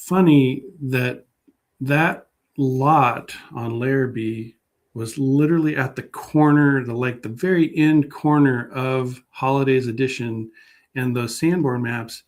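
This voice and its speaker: A man talking casually as an interview guest, halting and unassuming as he thinks aloud. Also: mid-range voice, fairly even intonation. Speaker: guest public historian.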